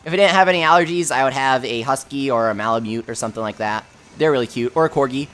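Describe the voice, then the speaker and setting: A man hosting a game livestream with a high voice, answering viewer questions in a chatty, offhand way full of fillers. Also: fast, a bit bright, a little hiss or hum. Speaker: livestream host. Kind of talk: casual livestream chat, reading viewer questions.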